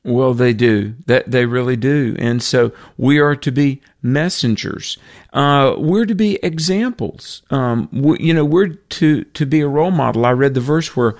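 A man in a conversational faith discussion on a radio show, speaking earnestly at a middle pitch. He quotes verses and restarts his sentences often. The sound is dry and close, with no room around it. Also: unhurried, dry recording. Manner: earnest, quotes scripture, frequent fillers and restarts.

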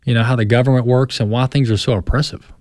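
A man's voice: medium pitch, steady tone, quick pace, a little mellow, dry recording, studio quality. A man hosting a radio talk show, introducing a guest and asking long, rambling questions with fillers. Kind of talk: radio interview.

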